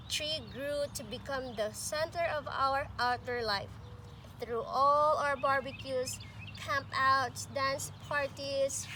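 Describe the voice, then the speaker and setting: High-voiced woman reading slowly to children. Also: a bit bright. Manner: pauses to question and address young listeners. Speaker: pre-K teacher reading aloud to children. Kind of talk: expressive picture-book read-aloud with asides. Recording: clearly noisy amateur recording.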